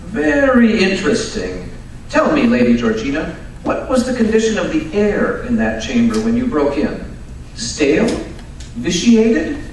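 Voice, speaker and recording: Recorded far off in a very reverberant hall, a man plays an imperious, curious male detective from a radio play. His fairly high voice moves in lively sweeps, brisk, commanding and impatient. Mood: probing. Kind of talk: old-time radio drama acting on stage. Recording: very echoey hall, distant mic, faint noise.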